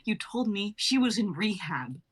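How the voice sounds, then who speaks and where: A woman's voice: mid-range voice, sing-song, a little slow, breathy edge. Woman reading as a betrayed, accusing wife. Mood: bitter, accusing. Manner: accusing, bitter. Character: hurt, betrayed young wife confronting husband. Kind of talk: live-streamed script reading.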